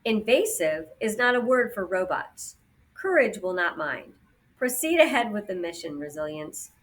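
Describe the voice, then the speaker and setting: A woman voicing a formal, stern, matter-of-fact robot in an audiobook, with measured and precise delivery. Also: mid-range voice, big pitch swings, lightly acted. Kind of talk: children's audiobook character voice.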